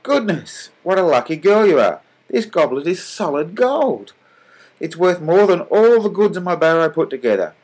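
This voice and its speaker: A man's voice: on the high side, big pitch swings, fairly quick, voice pushed up. A man voicing a kind, honest travelling salesman who speaks cheerfully and politely in a raised voice.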